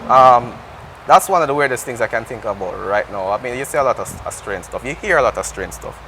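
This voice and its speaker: A man's voice: mid-range voice, lively intonation, fast, pushed and bright, a little hiss or hum, rough recording. A Jamaican man speaking as an interview guest in casual, conversational talk, often restarting his sentences. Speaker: interview guest, air traffic controller.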